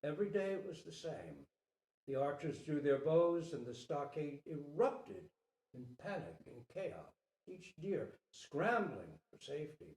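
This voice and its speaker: A man tells a story theatrically and deliberately, in a mellow voice with wide swings of pitch. The recording sounds roomy, with the microphone at a distance. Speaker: storyteller, Zen teacher. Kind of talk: dramatic storybook reading.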